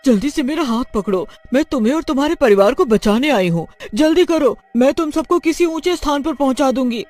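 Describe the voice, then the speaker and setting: A man plays a female cartoon witch with a high voice. She is earnest and pleading, full of remorse and determined to do good. Soft music plays behind the dramatic delivery. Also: animated, fairly quick. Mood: urgent. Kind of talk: dramatic Hindi cartoon dialogue.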